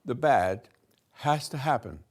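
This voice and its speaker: An older man slowly giving a rambling spiritual talk to a room, halting and restarting, swinging between soft and loud. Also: medium pitch, lively intonation. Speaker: spiritual teacher hosting a talk.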